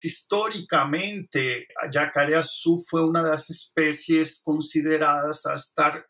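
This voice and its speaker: A man's voice: a little high, fairly even intonation, measured pace, sharp tone. A man with a Colombian accent, a Spanish speaker talking Portuguese, explaining as a biologist in an interview. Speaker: biologist researcher.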